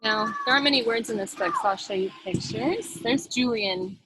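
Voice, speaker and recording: A woman's voice: on the high side, moderate pace, pushed and bright, slight background noise, poor audio. A woman leading a children's sign language storytime, demonstrating and explaining as she goes. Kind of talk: sign language storytime lesson with singing and read-aloud.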